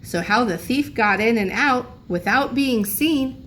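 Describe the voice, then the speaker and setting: A woman's voice: a little high, expressive, unhurried, fairly bright tone, voice pushed up. A woman voicing a grave, earnest police officer in a storybook, speaking formally. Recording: noisy room recording.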